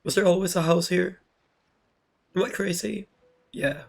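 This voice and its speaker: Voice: medium pitch, a little slow, vocal fry. A game streamer muttering casual, offhand reactions to themselves during a playthrough. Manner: offhand, sparse, self-talk.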